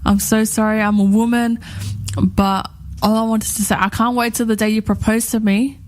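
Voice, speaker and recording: A woman's voice: fairly high pitch, flat delivery, moderate pace. A woman in her thirties co-hosting a radio chat show, talking in a rambling, heartfelt way and repeating herself often. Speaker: radio co-host.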